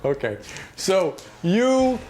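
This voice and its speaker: A man improvising as game master in a tabletop game, narrating vividly in a halting, conversational way. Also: sits high, big pitch swings, darkish tone, slight background noise.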